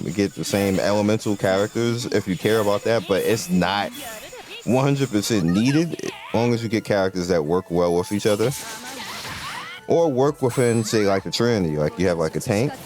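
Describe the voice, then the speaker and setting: Guy with a low voice chatting through his phone game, rambly, lots of ums, some quiet music behind. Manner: rambling, loose, with many fillers and restarts. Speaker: gaming channel host. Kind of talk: casual gameplay commentary.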